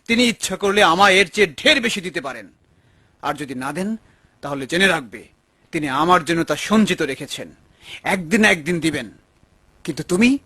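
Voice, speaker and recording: A man voicing a humble, pious poor man in an animated moral story, speaking earnestly like a moral adviser. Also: high-pitched, husky, dry recording, heavily acted. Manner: earnest, admonishing.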